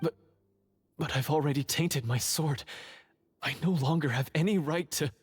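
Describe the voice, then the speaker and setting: A man's voice: fairly high pitch, moderate pace, husky. A man playing a remorseful, self-doubting young swordsman in a fantasy game, earnest and hesitant as he speaks. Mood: despairing. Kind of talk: scripted fantasy game dialogue. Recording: music playing under the voice.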